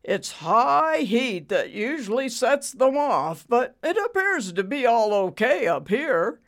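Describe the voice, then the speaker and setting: A humble old handyman voiced by a woman answers his superior with mild deference. The pitch sits raised and moves freely, in audiobook character style. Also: big pitch swings, takes it slowly, exaggerated voice. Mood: deferential, puzzled. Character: humble old male maintenance man, deferential.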